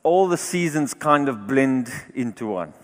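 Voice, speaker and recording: A man from South Africa, a non-native English speaker, opening a conference sermon in a halting, warm way with fillers, with a live interpreter. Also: mid-range voice, lively intonation. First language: not English. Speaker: guest preacher. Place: church hall. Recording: reverberant hall.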